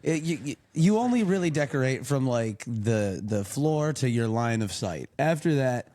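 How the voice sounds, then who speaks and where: A man in his late twenties, a guest in a casual chat, quick-witted and teasing, talking through the situations step by step. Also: mid-range voice, animated, dark tone. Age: 20s.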